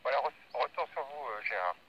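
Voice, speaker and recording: A man talks over a radio link in a mid-pitched voice. He chats casually and haltingly as a radio amateur. The sound is dull, with faint noise behind it. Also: lively intonation. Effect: radio transmission.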